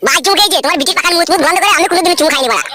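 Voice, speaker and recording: A man's voice: very high voice, very fast, heavy background noise. A man voicing a hot-headed, crude young husband in a comic cartoon, argumentative but protective of his partner. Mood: angry, brash.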